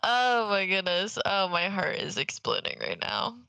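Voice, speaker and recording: Bright, creaky-voiced young woman chatting excitedly. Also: medium pitch, a little slow, dry recording. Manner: gushing, excitable, slangy. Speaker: podcast co-host. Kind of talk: casual gaming podcast chat.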